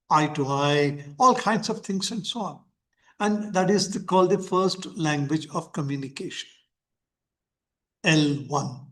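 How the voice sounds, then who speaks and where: An older man with an Indian English accent giving a teacher-training lecture, speaking slowly and emphatically, with lots of repetition. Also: a little high. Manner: slow, emphatic delivery with frequent repetition. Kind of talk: lecturing and questioning participants. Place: lecture hall.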